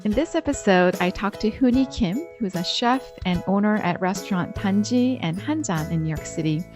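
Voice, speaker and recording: A woman's voice: medium pitch, animated, moderate pace, over music. A woman hosting a podcast, reading an earnest intro and then interviewing a guest. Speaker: podcast host.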